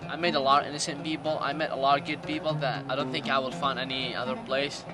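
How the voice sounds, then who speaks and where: A man in his late teens from Iraq with a Middle Eastern accent gives personal testimony in halting, non-native English. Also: mid-range voice, level tone. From Iraq, Jordan and Syria. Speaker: documentary interview subject. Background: music.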